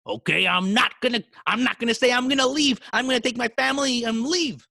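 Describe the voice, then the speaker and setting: Man acting a high, panicky, stubborn poor tenant. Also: brisk, gravelly, bright, forceful tone, no room sound, clean studio sound, full character voice. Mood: defiant. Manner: panicky, defiant.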